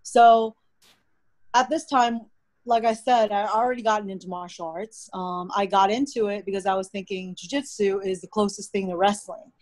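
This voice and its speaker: A young American woman whose first language is Mandarin, telling stories in a relaxed, chatty way as a podcast guest. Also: medium pitch, moderate pace, no echo. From United States. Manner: relaxed, chatty storytelling with frequent "like". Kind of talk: casual interview conversation.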